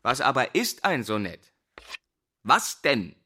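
A man's voice: medium pitch, very animated, slow, bright, forceful tone, slightly rough, exaggerated voice. An actor playing an agitated, troubled young male teacher in a radio drama, declamatory and given to repeating words. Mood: agitated, pedantic.

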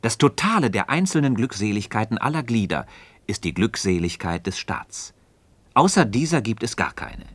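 An actor playing a thoughtful philosopher in a German radio play, questioning a friend in a measured, deliberate, didactic way. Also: male voice, lowish voice, sing-song, no room sound. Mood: earnest, assured. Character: thoughtful, probing male philosopher leading a friend through argument.

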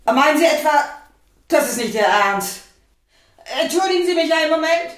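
A woman acting in a radio drama, playing a proud, weary widow with a sharp tongue and pointed delivery. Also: high voice, lively intonation, normal speed. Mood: coolly polite.

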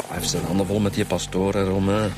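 Wry Flemish detective played by a man, fairly low voice. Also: little pitch movement, fast talker, noisy background, close to an everyday voice. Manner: dry, casual, wry. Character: wry, jokey male homicide detective inspector. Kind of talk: naturalistic radio crime drama acting. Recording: music under the dialogue.

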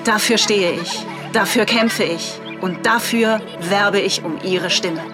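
A woman's voice: mid-range voice, takes it slowly, music in the background, natural voice. An actress in a radio drama playing an earnest, uptight, self-important eco-minded woman mayor, declaiming a political speech. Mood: earnest, campaigning. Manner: pompous, declamatory.

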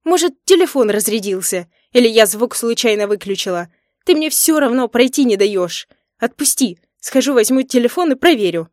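A woman's voice: on the high side, expressive, moderate pace, darkish tone, no room sound, clean studio sound, voice pushed up, natural voice. A woman voicing an indignant, hurt young wife who snaps back emphatically in an audiobook story. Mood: angry, snappy. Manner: snappy, emphatic, raised voice.

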